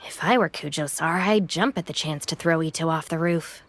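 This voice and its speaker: A woman's voice: low-pitched, a little fast, slight background noise. A woman playing a capable young gang deputy in a game, dry and matter-of-fact. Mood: dry, wry. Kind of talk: animated game dialogue.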